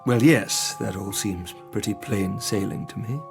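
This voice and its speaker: An actor playing a reserved, educated gentleman in an audiobook drama, speaking in a measured, politely doubtful way. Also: fairly low pitch, gravelly, faint music behind. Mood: unimpressed. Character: sceptical, educated gentleman listener, reserved and patient.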